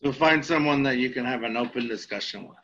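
A man's voice: mid-range voice, fast talker, fairly bright tone, highs cut off, a little hiss or hum. A man hosting a casual podcast chat, teasing his guests and asking long, rambling questions.

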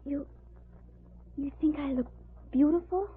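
A woman's voice: high-pitched, measured pace. A woman playing a sweet, earnest little girl in a 1940s radio drama. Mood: touched, amazed. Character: sweet excited little red-haired girl, earnest and proud. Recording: tinny old radio broadcast.

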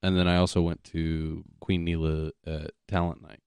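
Man, low pitch, dry close recording, casual co-host chat, slangy, profane. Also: flat delivery, moderate pace, darkish tone, smooth, no echo. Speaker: podcast co-host.

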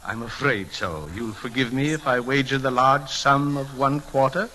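An actor playing a sly old deacon who feigns shy innocence with flowery, fussy, mock-humble talk in 1940s radio comedy style. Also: male voice, medium pitch, steady tone, moderate pace, sharp tone, slight background noise, lightly acted, low-quality recording.

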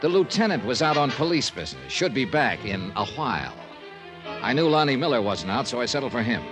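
A man's voice: mid-range voice, big pitch swings, a little fast, over music, natural voice. A man with an American accent acting a dogged, wry investigator who narrates an old-time radio detective drama in clipped, insistent phrases. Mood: wry, brooding narration. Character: hard-boiled male investigator, dogged, wry, persuasive. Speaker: narrator and lead character.

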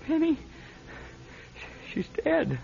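An actor playing an exasperated, skeptical young fiancé in a classic radio drama, agitated and prone to stammering. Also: male voice, extremely high pitch, huge pitch swings, drawn-out words, vocal fry, heavily acted. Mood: anguished, shaken. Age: young adult. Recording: lo-fi old radio drama recording.

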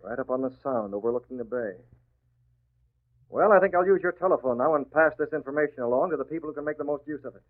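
A man's voice: fairly high pitch, fast talker, natural voice. Man acting a mocking tough vigilante, tinny old radio. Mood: brisk, confident. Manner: sardonic, clipped, menacing tough-guy talk. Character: tough, mocking masked male vigilante menacing crooks. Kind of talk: 1940s radio drama acting. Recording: muffled, narrow-band old radio broadcast.

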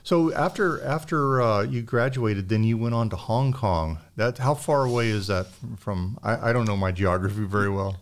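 A man's voice: fairly low pitch, normal speed, hardly any pauses. A man co-hosting a food podcast, asking casual questions in a chatty way, often laughing and repeating words. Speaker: podcast co-host. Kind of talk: casual interview chat.

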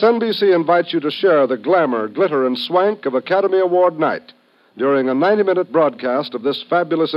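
An American man announces in classic 1950s radio style. His voice is fairly high and emphatic, with showy phrasing, on a slightly muffled old recording.

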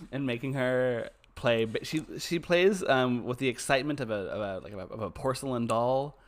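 A man co-hosting a podcast, chatting in a campy, enthusiastic way with quick asides. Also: medium pitch. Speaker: podcast co-host. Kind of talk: casual chat.

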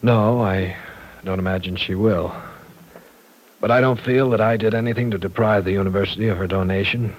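An actor playing an earnest, apologetic university professor in an old-time radio drama, formal and courteous yet insistent. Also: male voice, fairly low pitch, fairly even intonation, fairly quick, lightly acted, rough recording.

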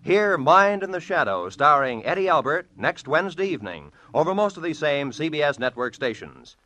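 An American man announcing on network radio in formal, measured, scripted 1940s style. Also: a little high, sing-song, sharp tone, dry recording. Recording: vintage 1940s radio broadcast with music.

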